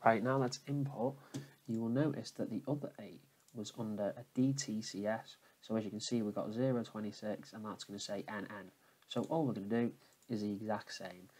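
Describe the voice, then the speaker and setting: A man's voice: fairly low pitch, a little mellow, husky. Man giving a casual tech walkthrough. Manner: informal, repetitive step-by-step explaining. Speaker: tutorial presenter. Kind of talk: casual screen-recorded how-to walkthrough.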